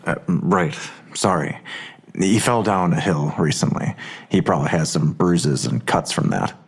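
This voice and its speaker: A man's voice: low voice, a little slow, slightly rough, heavily acted. An actor playing a weary, defensive police detective and anxious father, terse and guarded, in a podcast audio drama. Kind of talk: full-cast audio drama.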